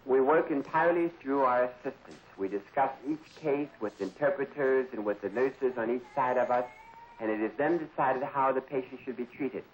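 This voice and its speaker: A man's voice: mid-range voice, normal speed. Matter-of-fact man on old film. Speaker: doctor. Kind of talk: archival documentary narration. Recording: muffled archive film sound with hiss.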